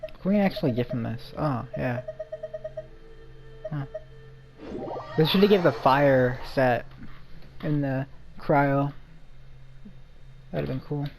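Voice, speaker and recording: A woman's voice: very deep voice, slow, vocal fry, pauses often. A woman gaming streamer giving casual, chatty commentary on gameplay.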